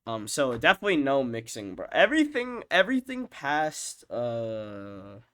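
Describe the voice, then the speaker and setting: A young man with a stuffed-up, nasal voice from being sick, rambling casually with profanity and lots of fillers, often trailing off quietly while he ranks his own tracks. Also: mid-range voice, wildly swooping pitch, moderate pace. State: congested, sick with stuffed-up nose. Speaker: music producer ranking his own songs. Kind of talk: rambling casual screen-share commentary.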